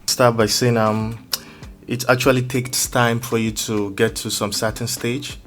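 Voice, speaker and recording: A man's voice: medium pitch, little pitch movement, a little slow, fairly bright tone. A man talking casually as a music producer being interviewed, hesitant and feeling for his words as he explains. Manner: hesitant, searching for words, roundabout phrasing.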